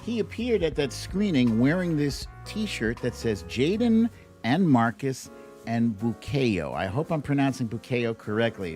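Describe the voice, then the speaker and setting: A man's voice: medium pitch, a little mellow. A middle-aged man hosting a livestream, chatting informally and playfully with viewers, stretching words and tossing in asides. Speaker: livestream show host. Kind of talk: casual livestream chat with viewers. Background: background music.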